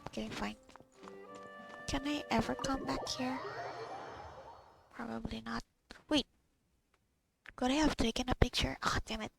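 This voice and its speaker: A woman's voice: on the high side, fairly even intonation, measured pace, gravelly, pauses often, faint music behind, poor audio. A woman playing a video game, chatting casually, muttering to herself and reading text aloud. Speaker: gamer recording a playthrough. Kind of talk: casual gameplay chat.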